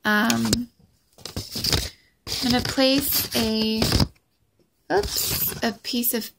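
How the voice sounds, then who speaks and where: A young woman with a light, fry-edged voice, speaking slowly into her phone camera. She talks in a halting, thoughtful way, pausing and restarting often. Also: a little high, steady tone, very noisy. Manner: hesitant, many ums and restarts. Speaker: vlogger. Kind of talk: casual personal vlog.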